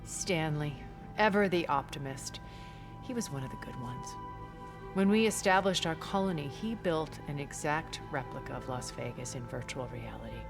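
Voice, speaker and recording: An actress playing a refined, wealthy immortal woman in a video game, speaking in measured, eloquent, theatrical phrases with quiet scorn. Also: deep voice, expressive, over music. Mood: fond, wistful. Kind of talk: video game character dialogue.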